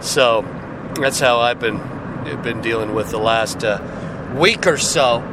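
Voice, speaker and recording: A man hosting a podcast in a casual, rambling monologue, joking and self-deprecating, his voice raspy and hoarse as he gets over a cold. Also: mid-range voice, slow, background noise. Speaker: podcast host.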